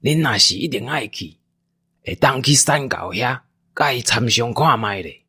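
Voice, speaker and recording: A man's voice: medium pitch, animated, normal speed, pushed and bright, husky, no room sound, studio quality, full character voice. A man voicing a weary, helpful old village man in a Taiwanese-language radio drama, talking in a folksy, resigned way. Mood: cautioning, matter-of-fact.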